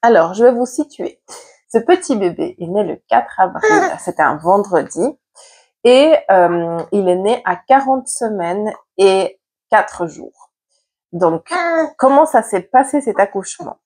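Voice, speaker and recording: Woman, husky voice, stuffy with a cold. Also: medium pitch, expressive, a little slow, darkish tone. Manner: chatty and candid, with frequent hesitation fillers. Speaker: vlogger and mother. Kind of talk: personal storytelling to camera. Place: at home. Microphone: external mic plugged into a camera.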